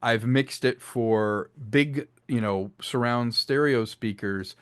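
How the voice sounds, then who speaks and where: A man with a medium-pitched voice talks casually as an interview guest. He explains his creative work with enthusiasm. The sound is dry, with very little room in it. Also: takes it slowly, fairly bright tone, clean tone, no echo. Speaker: interview guest, audio drama creator and actor.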